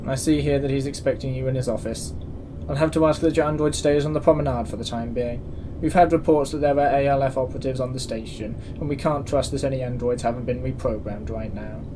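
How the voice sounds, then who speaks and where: A man's voice: medium pitch, steady tone, quick pace, background noise, close to an everyday voice. A man playing a stern, suspicious space station security officer in a sci-fi audio drama, formal and curt. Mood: officious, suspicious.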